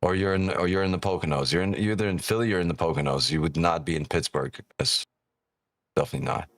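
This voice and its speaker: Very deep-voiced man talking trading live. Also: fast, no room sound. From Ukraine. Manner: casual and profane, keeps asking "right?". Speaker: day-trading streamer. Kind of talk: live-stream trading commentary, reading chat aloud.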